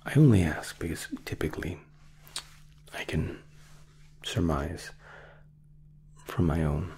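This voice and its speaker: A man in his thirties doing a soft ASMR roleplay, hushed, polite and hesitant, close to the microphone. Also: low voice, takes it slowly, vocal fry, a little hiss or hum. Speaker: ASMR roleplay performer, playing a royal sculptor.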